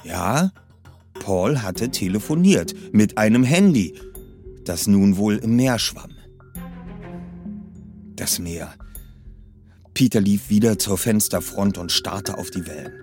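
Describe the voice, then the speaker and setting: A man's voice: on the low side, lively intonation, slow, pauses often, a little mellow, soft background music. A man reading a suspense story aloud in a tense, dramatic narration style. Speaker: reader. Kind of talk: audiobook narration.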